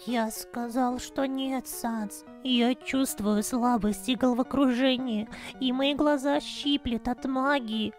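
A woman voicing an earnest, excitable young skeleton boy in a comic dub. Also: high-pitched, slow pace, soft background music. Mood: unwell, uneasy.

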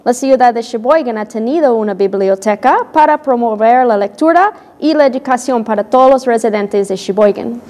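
A woman's voice: fairly high pitch, normal speed, hardly any pauses, warm tone, in a large hall. A young woman, a children's librarian and a second-language Spanish speaker, answering interview questions carefully with some hesitation. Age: young adult. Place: small TV studio set. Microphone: lapel.

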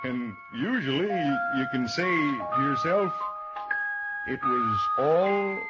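An actor playing a kindly, folksy American small-town man in a gently philosophical, hesitant 1950s radio drama style. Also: mid-range voice, expressive, slow pace. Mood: gentle, reflective. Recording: dull old radio recording, music behind.

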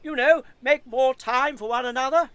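A woman's voice: high-pitched, moderate pace, a bit bright, no echo. A woman with a British accent playing an earnest, polite, nosy male neighbour in an audio comedy play.

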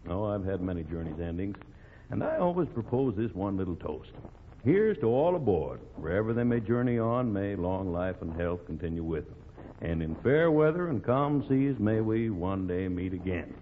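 A sentimental, courteous ship's captain in a 1940s radio play. His low voice moves expressively through a narrow, tinny recording. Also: big pitch swings, normal speed. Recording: thin, noisy old radio recording.

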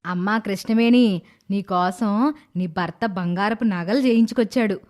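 A woman's voice: medium pitch, unhurried, a little mellow, close to an everyday voice. A woman voicing a kindly, indulgent middle-aged mother-in-law in a dramatised moral story, with a coaxing manner. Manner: coaxing, placating.

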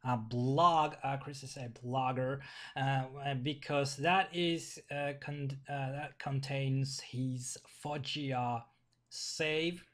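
A young man from Finland with a Finnish accent, speaking English as a second language in a casual vlog, halting and repeating words, recorded at his desk in a small bedroom. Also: mid-range voice, fairly even intonation, fairly bright tone. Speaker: vlogger. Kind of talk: casual video commentary.

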